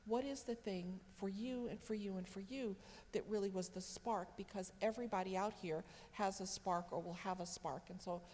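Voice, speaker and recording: A woman's voice: mid-range voice, normal speed, few pauses, darkish tone. Conversational woman moderator, echoey hall with faint background hum. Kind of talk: moderating a panel. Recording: long reverb of a hall, faint ambience.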